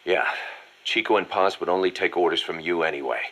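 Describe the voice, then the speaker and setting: Shrewd, low-voiced mercenary second-in-command. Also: male voice, brisk, a bit bright. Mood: urgent, resigned. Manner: businesslike, calculating briefing. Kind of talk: dramatic video game dialogue.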